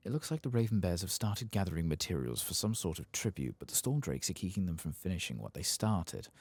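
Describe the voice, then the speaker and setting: A man doing soft-spoken, casual playthrough commentary as an ASMR gaming streamer, reading aloud and muttering asides. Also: deep voice, expressive, fairly quick, slightly rough, no echo.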